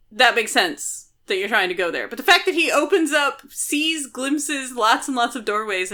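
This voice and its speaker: Bright-voiced woman chatting about books. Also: mid-range voice. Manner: opinionated, argues points at length. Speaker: podcast co-host. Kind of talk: casual book discussion.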